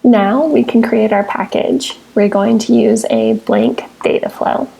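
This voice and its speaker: A woman presenting a software tutorial as scripted, step-by-step screencast narration, with measured, instructional phrasing. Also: on the high side, very animated, creaky, breathy edge, poor audio. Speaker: software tutorial presenter, technical support engineer.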